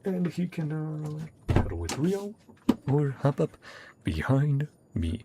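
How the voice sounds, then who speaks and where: A man's voice: on the high side, big pitch swings, takes it slowly, heavy background noise. A man casually commenting on a video game he is playing, reading the game text aloud and chuckling now and then. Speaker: gaming streamer. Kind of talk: casual let's-play commentary. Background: game music.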